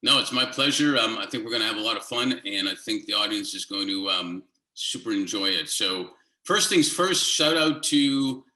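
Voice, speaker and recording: Bright-voiced male interviewer in an echoey room. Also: fairly low pitch, expressive, moderate pace. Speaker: interviewer, host. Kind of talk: casual interview chat.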